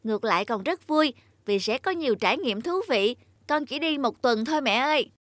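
A woman voicing an earnest, sensitive young boy in an animated story, polite and pleading in manner. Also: sits high, brisk, dry recording, studio quality. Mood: eager. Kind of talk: Vietnamese animated moral story dubbing. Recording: music behind the voice.

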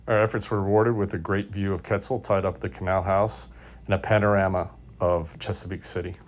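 A man in his fifties narrating a sailing vlog in a relaxed, wry, understated way. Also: sits low, brisk, a little hiss or hum. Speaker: sailing vlogger. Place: sailboat deck outdoors.

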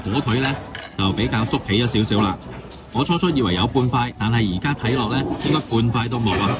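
A man giving a chatty, casual food review voice-over, musing out loud and mildly critical. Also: mid-range voice.

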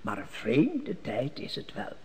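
A man's voice: mid-range voice, huge pitch swings, takes it slowly, creaky, heavily acted. An actor playing a scheming male court elder who speaks in sly riddles, in an old radio play. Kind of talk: 1950s Dutch radio drama acting.

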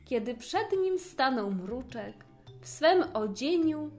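Woman narrator, higher voice, very lively intonation, unhurried rhymed fairy-tale reading, faint music behind. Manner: expressive, theatrical storytelling.

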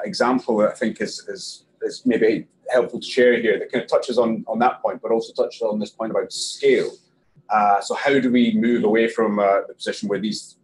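A man in his thirties with a Scottish accent, explaining policy slowly and carefully on a panel discussion in a small meeting room, picked up by a room camera on a video call. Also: lowish voice, slight background noise, poor audio. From Scotland. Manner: measured, explanatory, with filler restarts. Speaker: panel guest.

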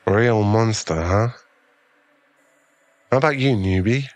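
A man's voice: lowish voice, slow pace, dry recording. A man playing an awkward, kind young gym owner with a crush in an ASMR boyfriend roleplay, speaking in an earnest, hesitant, stammering way. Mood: shy, friendly.

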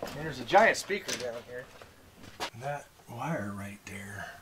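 A middle-aged man talks casually as he works, with a jokey, folksy manner. His voice sits at a medium pitch. The camera picks him up from a few feet away with clear noise behind him. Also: expressive, a little slow. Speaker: vlog host doing DIY bus repairs. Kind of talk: casual chat while working. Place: inside a converted school bus and outdoors beside it.

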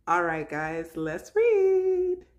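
A woman, around her thirties, speaking as the host of a children's story time, in an expressive storytelling manner. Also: fairly low pitch, extremely slow. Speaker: host of a children's read-aloud show. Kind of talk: children's story time, picture book read aloud.

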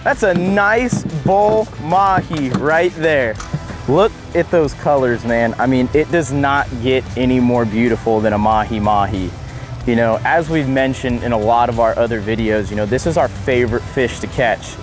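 A man in his thirties, a fishing vlogger, talking casually and excitedly on camera from a small boat on the open ocean, recorded on a boat-mounted camera. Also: mid-range voice, normal speed, faint music behind. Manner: excited, breaks into whoops and laughs while fighting fish.

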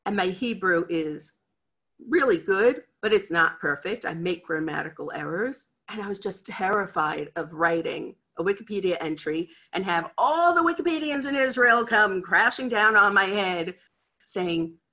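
Bright-voiced American woman giving a talk. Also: mid-range voice, animated, normal speed. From United States. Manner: chatty, candid, acts out quoted voices. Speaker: head of a library cataloguing department. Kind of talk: conference talk over video call. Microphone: video call webcam.

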